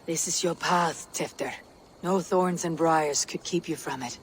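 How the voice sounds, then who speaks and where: Stoic Viking woman, dry wit, terse phrasing, cinematic game acting, clear background noise. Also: deep voice, a little slow, rough recording. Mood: grave. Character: stoic, wry Viking warrior, loyal friend. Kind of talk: dramatic game cutscene acting.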